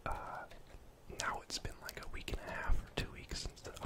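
A young man whispering casual, rambling ASMR commentary over a game, close to the mic in a breathy, unvoiced voice. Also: moderate pace. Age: young adult. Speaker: gaming ASMR host. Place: indoor room at home.

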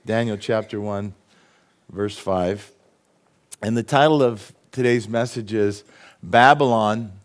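A middle-aged man with a cold, preaching a casual sermon from a church stage and putting questions to the congregation. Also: on the low side. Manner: conversational, asks the congregation questions. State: has a cold. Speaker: pastor. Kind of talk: sermon with jokes.

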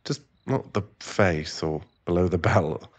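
A man playing a remorseful, tender boyfriend and expectant father in an intimate ASMR roleplay, speaking softly in halting, stammered phrases. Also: deep voice, dry recording. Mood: remorseful, earnest. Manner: halting, stammering, soft-spoken apology.

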